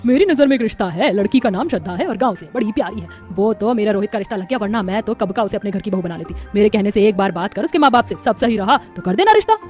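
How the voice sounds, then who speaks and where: A woman's voice: medium pitch, expressive, quick pace, hardly any pauses, darkish tone, soft background music, lightly acted. A woman playing a bossy but reassuring older aunt in a story, chatty and persuasive. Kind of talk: cartoon moral-story acting.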